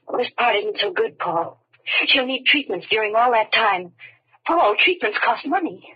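On a muffled, dry old radio recording, a worried, loyal young wife. Her very husky voice is tender and halting, in the earnest style of vintage radio drama. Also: on the high side, expressive, no echo. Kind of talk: 1930s radio drama acting.

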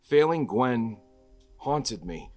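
A man's voice: mid-range voice, big pitch swings, very slow, a little mellow, faint music behind. An actor playing a guilt-ridden but quippy young male superhero, earnest and wisecracking in a dramatic table read. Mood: haunted. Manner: earnest confessions, wisecracking banter in fights. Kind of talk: dramatic table read with first-person narration.

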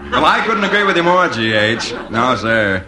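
A man's voice: mid-range voice, big pitch swings, a little slow, bright, forceful tone, exaggerated voice. An actor playing an eager, fawning yes-man in an advertising office, in 1950s radio comedy style on an old recording.

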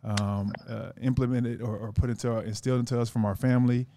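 A man's voice: mid-range voice, steady tone, quick pace, mellow, a little hiss or hum. A man guest on a casual podcast, talking earnestly in long rambling sentences with many restarts.

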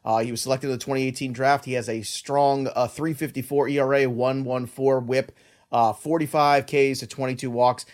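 A man's voice: medium pitch, little pitch movement, normal speed, a little mellow. A man hosting a sports podcast, talking in a casual, reflective way with lots of filler words. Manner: reflective, rambling with many "you know" fillers. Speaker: podcast host.

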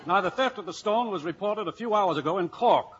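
High-pitched, husky, brisk radio boss. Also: male voice, fairly bright tone. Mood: brisk, pressing. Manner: brisk, bossy. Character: brisk, exasperated male insurance company boss. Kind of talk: 1950s radio acting. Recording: dull vintage radio broadcast.

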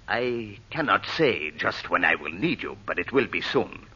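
An actor playing a blunt, commanding foreign schemer with formal, imperious, clipped phrasing in an old radio drama. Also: male voice, lowish voice, sing-song, measured pace, bright, forceful tone, slightly rough, heavily acted. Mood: coolly commanding. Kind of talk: 1930s-40s radio drama acting. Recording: muffled old radio broadcast recording.

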